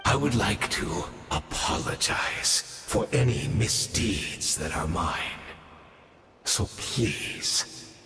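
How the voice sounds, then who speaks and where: Deep, slow, theatrical exiled schemer. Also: male voice, expressive, gravelly, sharp tone, echoey room, in a large hall, a little hiss or hum, exaggerated voice. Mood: mournful, pleading. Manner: theatrical, measured, formal speech with dramatic pauses. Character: cunning, fervent exiled man scheming to restore his fallen homeland. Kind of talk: dramatic video game voice acting. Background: music.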